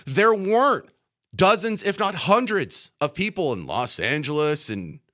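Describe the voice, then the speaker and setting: An American man hosting talk radio with a high-pitched, forceful voice that swings widely in pitch. He delivers an indignant political monologue, hammering and repeating his key phrases into a studio mic. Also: sing-song, measured pace. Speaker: radio talk show host.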